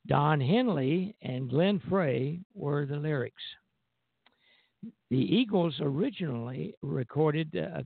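Male radio host, creaky voice on narrow phone-grade audio, reading music history, occasional self-corrections. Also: on the high side, no room sound. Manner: stumbles over read words and corrects himself. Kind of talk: music history commentary read from notes. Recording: tinny phone-like band-limited audio.

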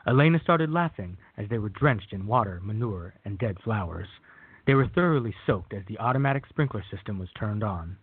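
A man with a husky voice reading scripted narration for a radio drama. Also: fairly low pitch, animated, a little fast, dry recording. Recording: thin phone-like band.

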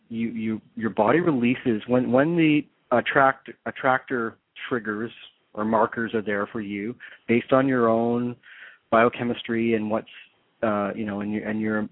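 A man hosting a call-in radio show, explaining psychology in a halting, restarting way. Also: mid-range voice, dry recording. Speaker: radio talk show host. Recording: tinny phone-line sound.

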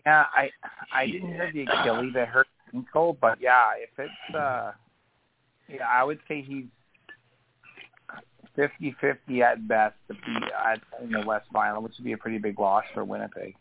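A man co-hosts a casual sports podcast in a gravelly, easygoing voice. He keeps his points short and relaxed. The line sounds tinny and carries a faint background hiss. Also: medium pitch, normal speed. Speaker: podcast co-host.

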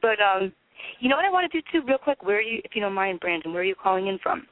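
A man's voice: high-pitched, big pitch swings, rapid-fire, no echo. A man hosting a casual call-in radio show, chatting with co-host and callers over a phone-like connection. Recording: tinny phone-like band.

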